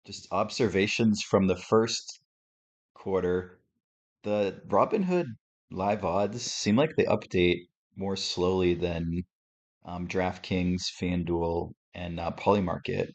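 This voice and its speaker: A man with a deep, sportscaster-like radio voice, hosting a relaxed live reaction stream from home and thinking aloud as he talks. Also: slow. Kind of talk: casual live game reaction commentary. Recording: slightly muffled lo-fi audio.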